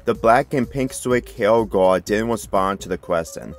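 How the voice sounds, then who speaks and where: A man's voice: on the low side, a little slow. A man reading a fan fiction story aloud as scripted narration. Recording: music playing behind the voice.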